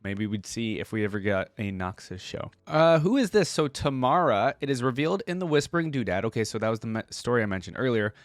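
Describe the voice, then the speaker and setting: A man's voice: medium pitch, sing-song, fast talker, hardly any pauses, dry recording. A man hosting a reaction video, talking casually and sometimes reading reference text aloud.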